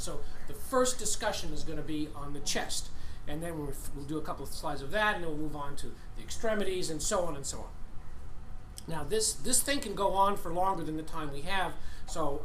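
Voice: medium pitch, fairly bright tone, rough recording. An American physician, middle-aged or older, giving an informal teaching lecture in a conversational, folksy way to a group. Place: room with a group of trainees. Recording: clear background noise, live teaching session.

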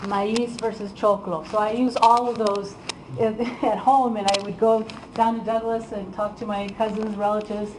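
A woman's voice: medium pitch, little pitch movement, normal speed, background noise. A woman from Iowa giving a chatty, informal talk to an audience as a guest speaker, with frequent ums and laughs. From Iowa, USA.

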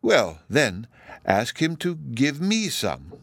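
Guy doing a bossy little girl in a storybook, prim and careful, bright lifted voice. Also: mid-range voice, wildly swooping pitch, slow pace, gravelly, no room sound, voice pushed up, heavily acted. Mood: coaxing. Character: prim, bossy but caring young girl.

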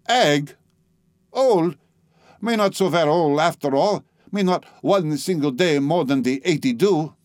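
A man's voice: on the high side, unhurried, darkish tone, no echo, studio quality, voice pushed up, close to an everyday voice. A man voicing an indignant eighty-two-year-old French woman who speaks broken English with a French accent. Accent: put-on French accent.

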